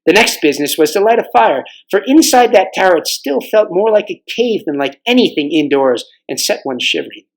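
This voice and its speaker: Higher-pitched man reading a story aloud. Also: big pitch swings, fairly quick, creaky, few pauses, rough recording. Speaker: volunteer storybook reader. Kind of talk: read-aloud storybook narration.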